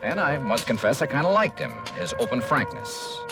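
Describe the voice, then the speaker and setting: Vintage radio gumshoe: American, skeptical, probing, expressive mid-range voice. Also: male voice, mid-range voice, moderate pace, vocal fry, over music. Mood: reflective, wry. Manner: probing, wry, clipped questioning. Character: dogged, skeptical male insurance investigator narrating his case. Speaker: radio detective lead and narrator. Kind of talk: 1950s American radio detective acting.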